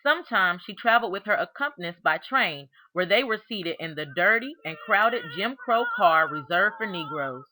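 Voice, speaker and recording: A woman reading a children's biography aloud in an expressive, deliberate storytelling manner, stressing key words. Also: medium pitch, background noise. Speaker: storybook reader.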